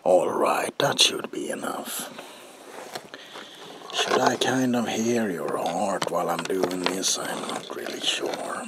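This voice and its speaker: A man's voice: sits low, animated, slow pace, full character voice. A man playing a gleefully deranged comic-book villain in a whispered ASMR roleplay, with a theatrical drawl and playful menace. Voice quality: breathy gravelly whisper.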